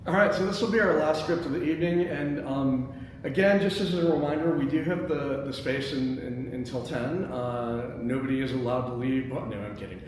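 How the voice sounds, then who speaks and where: A man with a medium-pitched voice hosts and narrates a script table read. He is picked up from a distance in a very echoey indoor space with noticeable noise. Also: moderate pace, darkish tone. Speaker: host and stage-direction reader. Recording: very echoey room, distant mic, background noise.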